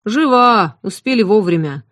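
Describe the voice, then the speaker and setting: A woman reading an audiobook voices an adult male valet who talks quietly and matter-of-factly. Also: medium pitch, lively intonation, a little slow, no echo, clean studio sound, pitched above the performer's own voice. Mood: plain. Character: adult male valet, sober and plain-spoken.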